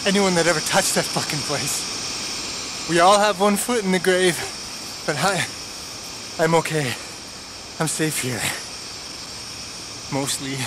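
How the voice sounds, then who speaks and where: An actor playing a jittery, paranoid, defensive middle-aged tinkerer in a video game, nervous and stammering, with an American accent. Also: male voice, on the high side, unhurried, long pauses, background noise, natural voice. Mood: haunted, bitter. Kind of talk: video game cutscene acting. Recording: loud film-score music behind.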